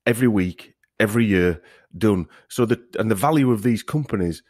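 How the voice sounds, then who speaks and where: A man's voice: lowish voice, a little fast, darkish tone, no echo, clean studio sound. A man hosting a podcast in a casual discussion style. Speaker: podcast host.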